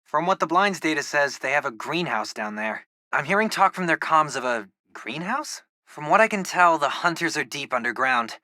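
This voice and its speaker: A man with an American accent voicing a geeky, excitable teenage boy, a loyal superhero's tech sidekick in a video game, talking informally and eagerly. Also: fairly high pitch, normal speed, no room sound, clean studio sound. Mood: casual briefing. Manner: informal, eager, nerdy tech talk with quips. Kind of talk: video game voice acting, casual radio-style chatter.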